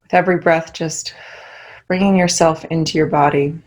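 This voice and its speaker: A young woman leading a guided meditation, giving soft, soothing instructions. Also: low voice, steady tone, normal speed, poor audio.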